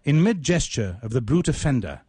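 Male author-narrator for literary tale: measured, ornate delivery, medium pitch, dry sound. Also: very animated, mellow, slightly rough, no echo. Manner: ornate, deliberate, measured phrasing. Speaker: writer reading own short story. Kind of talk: dramatic literary story reading.

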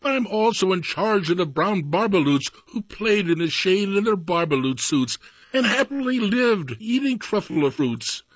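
Lively and shrill, a small grumpy old forest creature played by a man scolds in croaky, sing-song rhyme. The voice leaps up and down with a husky edge, and the recording sounds dull and lo-fi. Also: normal speed, dry recording. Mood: scolding. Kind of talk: storybook reading.

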